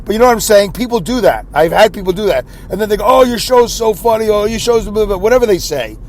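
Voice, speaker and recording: A man hosting his own podcast with a scratchy voice, rambling in a self-deprecating way and clearing his throat often. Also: high voice, expressive, quick pace, darkish tone. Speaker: podcast host. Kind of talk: solo rambling podcast monologue. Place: inside a car.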